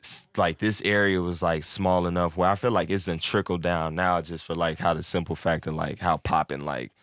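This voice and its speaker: A man's voice: sits low, steady tone, normal speed, fairly bright tone, no room sound, clean studio sound. A young man talking in a loose, rambling way, with lots of filler, and acting out what his friends said. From born in Turkey, raised in the United States. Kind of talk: casual chat.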